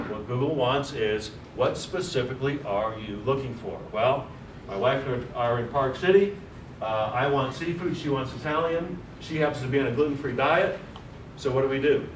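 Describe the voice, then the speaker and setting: A man giving a brisk conference talk on a panel, explaining points one after another. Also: medium pitch. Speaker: panel speaker. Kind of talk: conference presentation. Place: large office meeting room. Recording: echoey room, distant mic, noisy.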